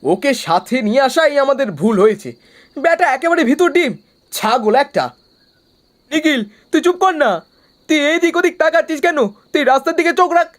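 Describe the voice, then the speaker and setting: A brash young man in an animated horror story, played by an actor. He talks in a bossy, mocking way, like the scolding leader of a group of friends. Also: high voice, a little fast. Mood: irritated, scolding. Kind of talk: animated horror cartoon dialogue.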